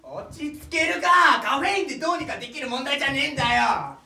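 A man playing an exasperated, panicky young hiker stranded in a mountain hut, shouting indignant retorts in a comedy. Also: extremely high pitch, expressive, fast, full character voice. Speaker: comic straight man. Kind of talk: loud stage sketch comedy. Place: on a small indoor theatre stage. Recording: echoey small theatre, distant camera.

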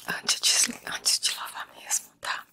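A woman in her twenties with a Brazilian Portuguese accent, whispering softly and gently in an ASMR roleplay as a hairdresser talking to a client. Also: a bass voice, monotone, a little slow, vocal fry, a little hiss or hum. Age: 20s. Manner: soft, gentle, addressing the listener as a client. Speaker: ASMR creator playing a hairdresser. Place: small room at home.

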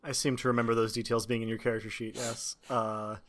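Casual male game master, mid-pitched. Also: little pitch movement, fast, a bit bright.

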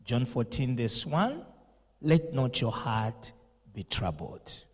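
Slow, husky pastor. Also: male voice, medium pitch, sing-song, long reverb tail. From Zambia. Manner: slow, solemn delivery with preacher's emphasis. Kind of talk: condolence message and prayer. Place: indoor studio set with purple lighting. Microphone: handheld mic.

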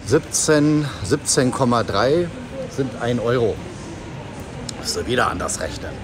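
A man's voice: mid-range voice, expressive, unhurried. Man, forties, travel vlogger, chatty, amused, camera at arm's length, music playing. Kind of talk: casual travel vlog. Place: supermarket and car on the road. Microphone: handheld camera at arm's length.

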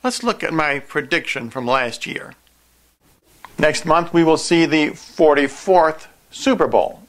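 Casting: older male prophecy preacher, slightly high pitch, forceful bright tone, deliberate and emphatic delivery. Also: expressive. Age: older, 60s-70s. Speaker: video preacher, host of a prophecy program. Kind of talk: earnest scripted religious monologue to camera.

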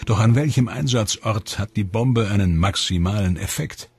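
A man narrating a science documentary in a calm, measured, scripted delivery. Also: lowish voice, background noise. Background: music.

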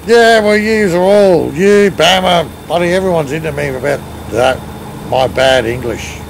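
A middle-aged man with a strong Australian accent chats through a headset while streaming, teasing his friends and thanking viewers by name. His voice sits a bit high, with a faint background hum. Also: a little slow, gravelly, rough recording. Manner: banters, thanks chat viewers, swears playfully. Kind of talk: casual gaming chat. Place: home gaming room, indoors.

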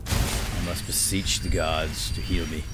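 A man's voice: low-pitched, flat delivery, measured pace, over loud music. A man giving playful, lightly role-played let's play commentary, chatting to his in-game companion.

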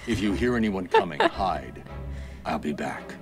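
A man's voice: deep voice, expressive, measured pace, gravelly, over music, exaggerated voice. A man voicing a grim, terse masked male vigilante in a video game, speaking in short commanding lines. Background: game soundtrack.

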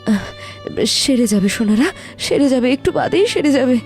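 A young cartoon stepmother with a high, very animated voice. She is caring but easily flustered, repeating herself in pleading melodrama. Music plays underneath. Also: moderate pace. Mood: soothing. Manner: fretful, pleading, repeats words. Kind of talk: melodramatic Bengali cartoon story acting.

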